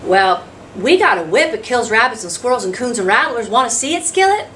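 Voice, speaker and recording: A woman voicing a curious, blunt, excitable homesteader boy in a story read aloud. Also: a little high, fairly quick, pitched above the performer's own voice. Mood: eager, curious. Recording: distant mic, noisy room sound.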